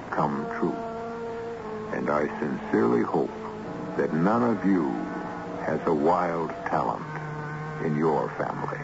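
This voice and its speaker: A man hosting and narrating an old-time radio mystery with measured, ominous, theatrical delivery. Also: low-pitched, vocal fry. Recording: muffled, noisy old radio recording.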